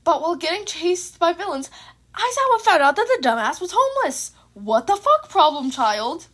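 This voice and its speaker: A young adult woman narrating her own fan fiction and chatting casually, with an informal, expressive manner. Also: very high voice, moderate pace. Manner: informal, sweary.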